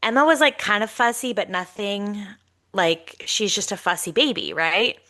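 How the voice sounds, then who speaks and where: A woman in her thirties, congested and nasal, co-hosting a podcast and telling a story in a lively, chatty way. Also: medium pitch, moderate pace. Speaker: podcast co-host. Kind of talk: casual storytelling chat.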